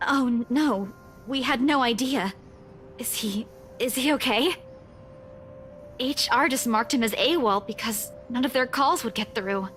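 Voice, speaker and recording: A woman's voice: fairly high pitch, a little slow, long pauses, fairly bright tone, soft background music. An actress playing a nervous, hesitant young female office worker. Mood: anxious. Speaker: company employee.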